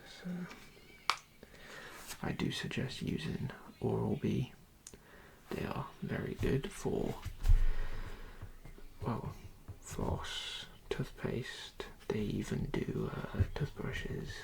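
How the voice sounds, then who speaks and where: A person performing an ASMR dentist roleplay in a breathy whisper with creaky edges, speaking softly and hesitantly as they give instructions. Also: mid-range voice. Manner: soft, hesitant, unhurried instructing.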